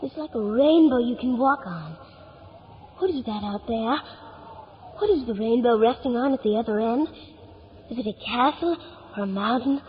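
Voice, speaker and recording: A woman's voice: a little high, moderate pace, pauses often, lightly acted. A woman playing an earnest, imaginative schoolboy full of breathless wonder in 1950s radio drama style. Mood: awed wonder. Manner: breathless, earnest, wide-eyed. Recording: dull, noisy vintage radio recording.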